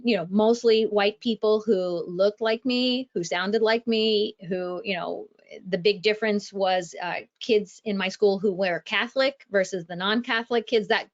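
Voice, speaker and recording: A woman from Ohio speaks as an author on a podcast, telling her story in a steady, measured way. Her voice sits in a middle range. The audio is a little dull. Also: medium pitch. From Ohio, USA. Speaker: author and guest. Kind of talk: podcast interview.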